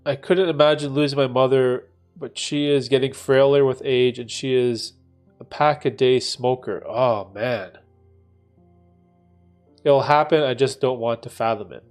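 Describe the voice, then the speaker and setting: A man's voice: mid-range voice, fairly even intonation, a little slow, darkish tone, soft background music. Reflective man in his thirties, chatting. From Canada. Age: 30s. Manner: thoughtful, rambling, many "right?" tags. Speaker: livestream host answering chat. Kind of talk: casual reflective livestream chat.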